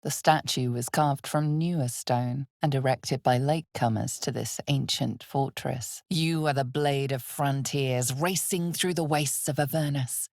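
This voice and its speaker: Slow ominous fantasy game narrator, hushed and dramatic, bit of gravelly fry, really close dry sound. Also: female voice, very deep voice, no room sound. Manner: measured, dramatic, hushed delivery.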